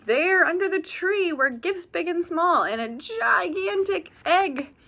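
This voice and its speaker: High-voiced woman reading slowly to children. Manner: animated, addresses young listeners with asides and questions. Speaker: teacher reading aloud to a class. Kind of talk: expressive children's picture-book read-aloud.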